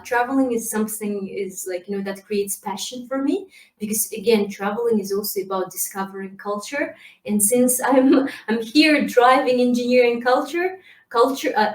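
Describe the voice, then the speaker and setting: A woman with a slightly high voice gives a lively, casual conference presentation, chatty and full of fillers. She is heard from a distance in a roomy space through a laptop mic. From Kazakhstan. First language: Kazakh. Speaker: community manager giving a talk.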